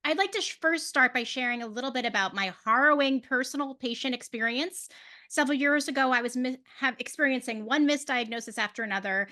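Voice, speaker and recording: Female moderator and patient advocate, high, forceful bright voice, delivering a prepared conference introduction. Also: fairly quick.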